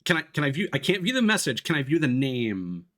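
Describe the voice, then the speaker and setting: A man's voice: on the high side, big pitch swings, quick pace, a bit bright. A man streaming a video game with casual live commentary, chatty and polite as he thinks aloud. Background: video game music.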